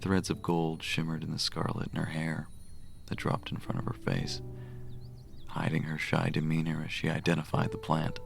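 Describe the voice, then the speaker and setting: A man playing a gentle, smitten healer cleric in a romantic audio drama, murmuring softly and tenderly. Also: monotone, normal speed, full character voice. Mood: hushed, fond. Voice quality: deep, gravelly, soft murmur. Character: gentle, smitten male cleric with healing magic. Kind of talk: romantic audio drama, first-person narration and dialogue. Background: music.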